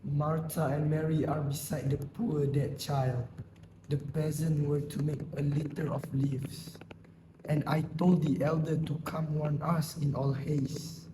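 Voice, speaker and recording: Casting: amateur man as grave, reflective villager, higher male voice, reciting sadly over clear background noise. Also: level tone, a little slow, close to an everyday voice. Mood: sorrowful. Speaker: amateur student actor. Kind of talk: stage play dialogue, recited.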